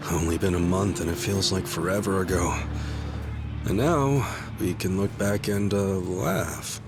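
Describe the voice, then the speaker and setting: An actor voicing a brash, wisecracking young male yakuza buddy in an English game dub, teasing, excitable and swearing freely. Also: low voice, unhurried. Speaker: game character. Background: game soundtrack music.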